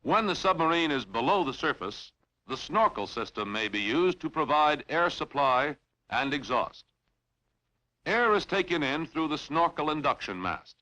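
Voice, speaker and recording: A man reading scripted instructional narration in a formal, measured, clearly enunciated way, as in a training film. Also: on the high side, very animated, pushed and bright, rough recording.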